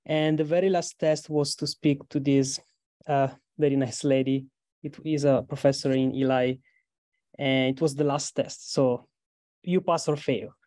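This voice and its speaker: Grad student from italy w/ italian accent, telling a story earnestly, warm mellow voice. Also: mid-range voice, steady tone, measured pace, dry recording, studio quality. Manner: earnest, hesitant fillers. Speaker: graduate student panelist. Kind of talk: casual storytelling on a panel.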